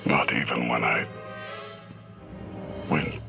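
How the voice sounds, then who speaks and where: A brooding warrior prince for a video game. The voice is extremely deep and gravelly, cold and bitterly restrained, with slow pacing over music. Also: male voice, steady tone, heavily acted. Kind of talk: dramatic video game acting.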